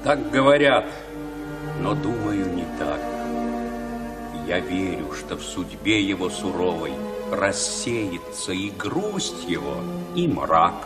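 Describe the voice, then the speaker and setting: An actor playing a grave, tender old shepherd who declaims verse tales in a radio play. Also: male voice, sits low, sing-song, distant mic, loud music behind the voice, heavily acted. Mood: tender, hopeful. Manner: slow, declamatory storytelling.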